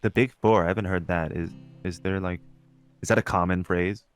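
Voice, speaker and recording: A man's voice: deep voice, fairly even intonation, a little fast, soft background music. A man co-hosting a podcast, chatting casually and reacting in an agreeable, laid-back, informal way. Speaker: podcast co-host. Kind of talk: casual chat, reacting to co-host.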